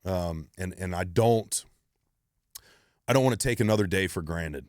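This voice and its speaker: A very low-voiced man hosts a solo Q&A podcast. He talks in a blunt, driven way and swears freely. The sound is close and dry, with no room echo. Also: moderate pace, a little mellow. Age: 30s. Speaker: podcast host.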